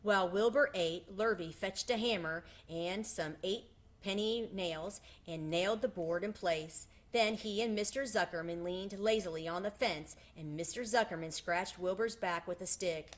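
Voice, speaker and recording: A woman reading a children's book aloud in an expressive storytelling style. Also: fairly low pitch, moderate pace. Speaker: storybook reader.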